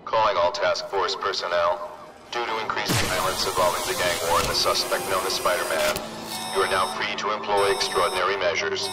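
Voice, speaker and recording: A man acts a stern game task force commander. His official orders come in a very low voice. It sounds far from the mic, buried under very loud music. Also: steady tone, a little fast, natural voice. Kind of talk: formal broadcast order in a video game.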